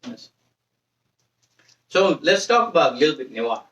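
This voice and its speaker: Man interviewing in halting English. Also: fairly high pitch, very animated, a bit bright. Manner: halting, broken phrasing. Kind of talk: casual interview questions. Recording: echoey room, distant mic.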